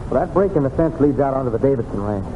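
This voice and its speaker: An actor playing an urgent, wary American ranch hand in an old-time radio western. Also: male voice, mid-range voice, lively intonation, very fast, narrow, tinny audio, lightly acted. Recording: noisy, phone-thin old radio recording.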